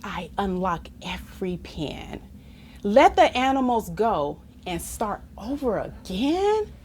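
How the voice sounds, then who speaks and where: A woman reading a children's story aloud in an expressive, playful, theatrical manner, as if to young listeners. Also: mid-range voice, slow pace, a little hiss or hum. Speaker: story reader.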